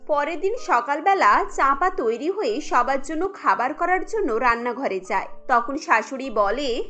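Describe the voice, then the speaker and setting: A woman's voice: high voice, animated, a little slow, pushed and bright, faint music behind. A woman reading scripted narration for a cartoon story.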